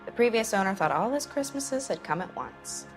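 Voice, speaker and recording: A woman's voice: medium pitch, animated, moderate pace, over music, lightly acted. A woman playing a wry, world-weary club worker. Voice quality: wry and knowing. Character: world-weary woman who works at a nightclub. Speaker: nightclub witness. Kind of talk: 1940s noir game acting.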